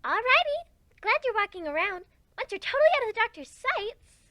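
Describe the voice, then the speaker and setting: A very high, bubbly pegasus. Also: female voice, very animated, brisk, sharp tone, heavily acted. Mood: playful, perky. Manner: perky, playful, cutesy. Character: bubbly, playful, girlish female cartoon pegasus. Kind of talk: fan fiction dramatic reading.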